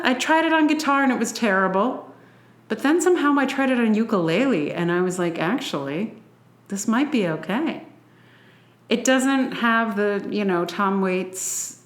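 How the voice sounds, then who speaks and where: A woman hosting a solo podcast in a casual, rambling way, often interrupting herself with asides. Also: mid-range voice. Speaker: podcast host. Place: indoors, a room near a train line. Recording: roomy, echoey home room.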